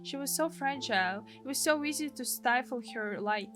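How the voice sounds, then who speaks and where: Bright-voiced Russian-accented woman gamer. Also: sits high, normal speed, faint music behind. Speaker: gaming streamer. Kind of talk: live read-aloud translation of game dialogue with narration.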